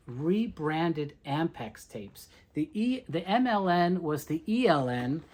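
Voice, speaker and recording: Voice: medium pitch, a little mellow, slight background noise. A collector giving an informal, explanatory show-and-tell commentary, talking conversationally through items one by one. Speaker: collector presenting a tape reel collection.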